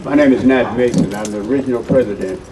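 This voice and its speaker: An older man, soft-spoken and earnest, giving short encouraging remarks at a community meeting. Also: medium pitch, quick pace. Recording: distant mic with noise behind.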